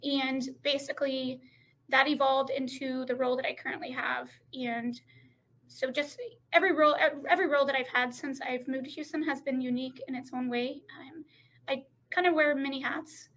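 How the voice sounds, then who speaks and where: A middle-aged woman speaking as a podcast guest in a halting, unhurried way, pausing often to find her words. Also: sits high, steady tone, fairly bright tone. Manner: halting, frequent pauses and restarts. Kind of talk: casual interview talk.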